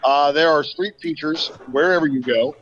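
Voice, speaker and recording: A man from Argentina chatting casually as a guest, speaking in a measured, dry way while driving. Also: a little high, a bit bright. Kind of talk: casual chat. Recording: dull lo-fi sound.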